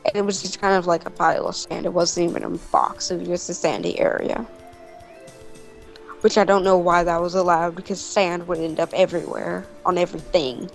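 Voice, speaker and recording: A woman's voice: lowish voice, level tone, brisk, creaky, soft background music. A young woman chatting casually and telling rambling stories, with a giggly manner. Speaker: video co-host. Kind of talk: casual storytelling chat over a game.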